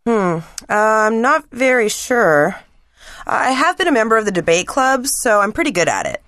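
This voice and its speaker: A woman's voice: fairly high pitch, sing-song, normal speed, fairly bright tone, no room sound. A woman playing a polite, hesitant young student applying for volunteer work in a scripted exam listening dialogue. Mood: polite, thoughtful.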